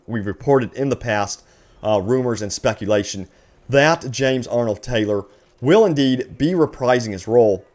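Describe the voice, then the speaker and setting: A man hosting a gaming news video, speaking enthusiastically to viewers and reading out announcements. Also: medium pitch. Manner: excited, addresses viewers as guys. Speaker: gaming news channel host.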